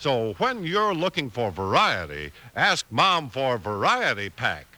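A man's voice: mid-range voice, wildly swooping pitch, a little slow, sharp tone, dry recording. A man with an American accent announcing in punchy, salesmanlike 1950s radio style.

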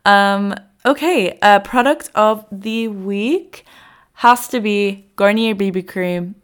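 A woman's voice: mid-range voice, slow, clear, resonant tone. A young woman hosting her podcast in a loose, chatty way with lots of fillers, a little congested and nasal from a cold. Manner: chatty, rambling with many fillers. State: congested, a bit sick. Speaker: podcast host. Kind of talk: casual solo podcast chat.

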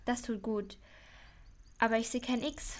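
Woman doing an eager kid detective in a kids audio play, highish voice, chatty and bold, thinks out loud. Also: fairly even intonation, unhurried, lightly acted. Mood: expectant. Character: eager young child detective, bold and talkative. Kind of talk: children's audio drama acting.

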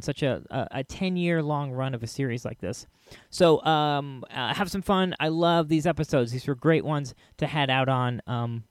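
A man hosting a podcast, casually introducing material in a halting but enthusiastic way. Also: on the high side, no room sound. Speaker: podcast host. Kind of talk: casual introduction to an old radio show.

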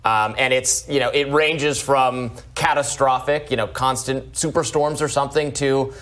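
A man with a middling pitch gives unscripted political commentary as a show host. He explains things step by step, hedging as he goes and checking in often. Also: steady tone, normal speed, a bit bright.

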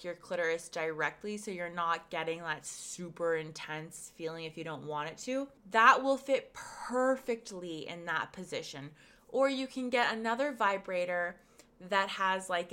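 Woman host, bright voice, a bit worn, chatty and frank, lots of um and like. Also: lowish voice, clear, resonant tone. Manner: chatty, frank, many fillers. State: losing her voice. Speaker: podcast host and pleasure coach. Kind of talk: casual podcast monologue.

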